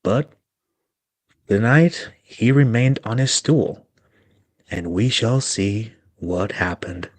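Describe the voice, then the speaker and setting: A man giving a dramatic storybook reading in deliberate phrases with pauses. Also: on the low side, expressive, darkish tone.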